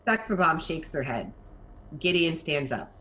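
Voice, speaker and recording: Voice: mid-range voice, sing-song, measured pace, bright, forceful tone, a little hiss or hum, poor audio. A narrator reading scripted stage directions for a table read.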